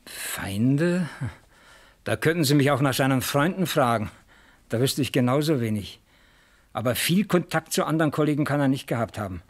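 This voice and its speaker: An actor playing an earnest East German factory manager in a German radio crime drama, formal, careful and a little defensive. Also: male voice, medium pitch, animated, normal speed, lightly acted.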